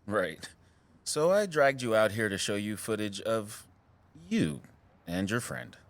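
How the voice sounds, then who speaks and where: An actor playing a keen, suspicious amateur sleuth in a casual, excitable way in an audio drama. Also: male voice, on the low side, takes it slowly, dry recording. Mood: deflated, skeptical. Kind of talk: scripted audio drama dialogue.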